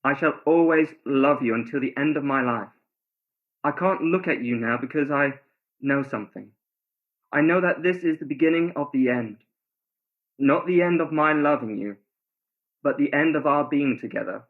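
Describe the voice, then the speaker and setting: Man acting earnest pleading lover, highish voice, radio romance drama, tinny phone-line sound. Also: unhurried, pauses often. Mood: tender, sorrowful. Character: tender, ardent, pleading male lover, a doctor.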